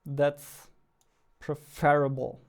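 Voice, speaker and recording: Male, twenties, devlog host. Slightly high pitch, gravelly fry at the edges, halting think-aloud delivery. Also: level tone. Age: 20s. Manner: halting, muttering to himself. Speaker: game developer, host of a devlog. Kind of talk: casual thinking aloud while coding. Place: indoors at a desk.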